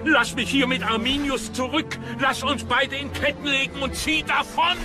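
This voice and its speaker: Very high-pitched imploring Germanic nobleman. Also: steady tone, moderate pace, over music. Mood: desperate pleading. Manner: urgent, imploring. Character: desperate, pleading Germanic nobleman warning a Roman commander. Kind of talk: dramatized documentary acting.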